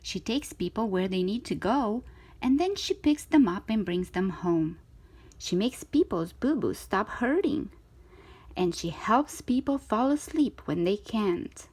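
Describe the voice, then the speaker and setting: A woman reading a children's story aloud in an expressive, theatrical way, with emphatic stretched words. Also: mid-range voice, a little slow, husky. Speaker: storytime reader of children's books.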